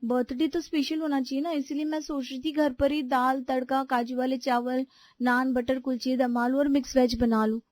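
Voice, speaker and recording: A woman's voice: high-pitched, level tone, normal speed, darkish tone, no echo, lightly acted. A woman voicing an outspoken younger daughter-in-law in a family story, complaining and pleading her case. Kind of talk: animated Hindi family story dialogue.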